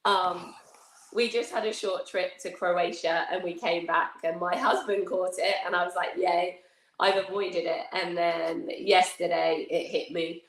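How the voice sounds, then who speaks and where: Brief: middle-aged female guest, hoarse and croaky with a cold, lowish voice, echoey room. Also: measured pace. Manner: laughs easily, relaxed. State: croaky from a cold, losing her voice. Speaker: guest, athlete and gym coach. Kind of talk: casual interview chat.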